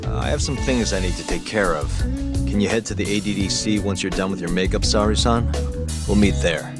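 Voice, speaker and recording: An actor voicing a cool, confident, scheming private detective in a video game dub, casual and matter-of-fact. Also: male voice, sits low, a little fast. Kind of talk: English game dub acting. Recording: music playing behind the voice.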